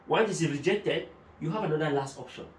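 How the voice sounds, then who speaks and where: A man's voice: fairly high pitch, a little fast, a little hiss or hum. A man working as a migration adviser, explaining procedures step by step and using German terms. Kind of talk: explanatory talk-show discussion. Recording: echoey room, mic at a distance.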